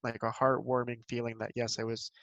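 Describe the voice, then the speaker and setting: Brief: young male guest, mid-pitched, earnest and hesitant, dull lo-fi line, dry sound. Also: completely flat delivery, brisk, a bit bright, dry recording. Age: young adult. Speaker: podcast guest, university student. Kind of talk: casual conversational interview.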